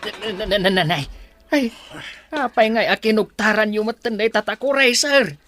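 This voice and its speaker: A woman's voice: mid-range voice, moderate pace, fairly bright tone, faint music behind, close to an everyday voice. A woman with a Filipino accent playing a flustered, outspoken household helper in an Ilocano drama, exclaiming and stammering.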